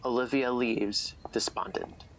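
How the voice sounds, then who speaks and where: A man with a creaky voice narrating an audio drama from a script. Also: mid-range voice, fairly even intonation, unhurried, fairly bright tone, slight background noise.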